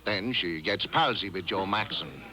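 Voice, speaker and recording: An actor playing a chatty, rough-edged bartender in a 1950s radio drama, talking in tough-guy slang. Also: low voice, animated, a little slow, pushed and bright, exaggerated voice. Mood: chatty, gossipy. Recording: dull old radio tape.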